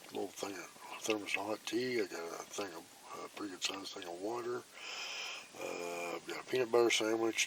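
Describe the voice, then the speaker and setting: A man's voice: deep voice, a little hiss or hum, low-quality recording. Man vlogging from the woods. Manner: excited rambling storytelling; hushed whispering in tree stand. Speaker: bowhunting vlogger. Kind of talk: casual hunting vlog. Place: outdoors in woods. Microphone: phone.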